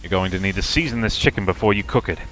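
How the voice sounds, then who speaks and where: A man's voice: sits low, fast talker, fairly bright tone, faint music behind, low-quality recording. A man reading game narration aloud on a livestream in a playful, theatrical storytelling manner.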